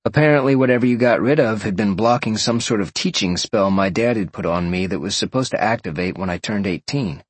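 Man playing a casual young guy, low-voiced. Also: fairly quick, few pauses, breathy edge, dry recording. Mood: candid, wary.

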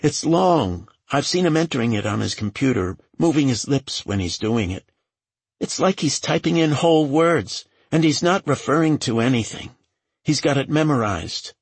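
A man acts out a scared woman assistant in a thriller audiobook. She pleads and hesitates defensively, her voice pushed high and husky. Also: animated, moderate pace, no room sound. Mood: nervous, defensive. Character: frightened, defensive woman, a boss's personal assistant.

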